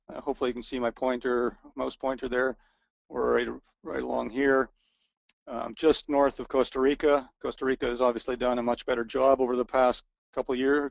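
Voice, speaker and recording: A man with a gravelly, mid-pitched voice talks through a webinar presentation, stumbling over restarts and fillers as his voice wears thin. The sound is dry and close, picked up by a computer microphone with no room around it. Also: no room sound. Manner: hesitant, many restarts and fillers, self-deprecating. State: voice wearing out. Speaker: resort co-owner presenting a webinar. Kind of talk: informal sales presentation.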